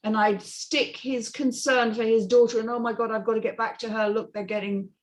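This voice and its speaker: A woman's voice: fairly high pitch, flat delivery, brisk, rough recording. A woman on a script reading panel giving an unscripted, emphatic and blunt critique in discussion.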